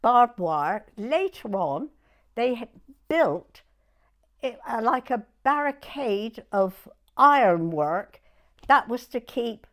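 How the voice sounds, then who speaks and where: A woman in her nineties with a southern English accent, reminiscing in an unhurried, halting way in short broken phrases. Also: on the high side, sing-song. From southern England. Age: 90s. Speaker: oral history interviewee. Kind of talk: personal reminiscence in conversation.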